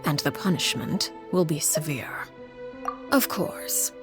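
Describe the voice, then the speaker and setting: A woman playing a cold, commanding villain in a video game, composed and measured, with a coolly condescending manner. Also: sits low. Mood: calculating, ominous. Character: cold, menacing woman villain, commanding head of a secret house. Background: music.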